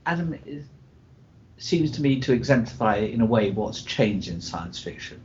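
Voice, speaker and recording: A man speaking as a publisher in an informal panel discussion, halting and repeating words as he goes. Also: very animated, darkish tone. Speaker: publisher, podcast guest. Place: live convention recording over internet call. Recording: muffled lo-fi internet call with rumbling noise.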